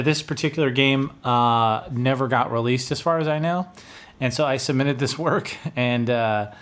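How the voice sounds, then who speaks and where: Casual, self-deprecating man, medium pitch. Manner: informal, rambling, self-deprecating. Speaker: music producer presenting own track. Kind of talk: casual video talk to viewers. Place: small home studio room, indoors. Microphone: large studio condenser microphone, close.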